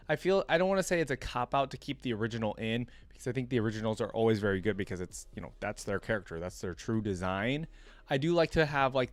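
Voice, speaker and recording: A man's voice: mid-range voice, dry recording. A man giving casual reaction commentary as a video host, chatty and thinking aloud as he goes. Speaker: host of a gaming reaction channel.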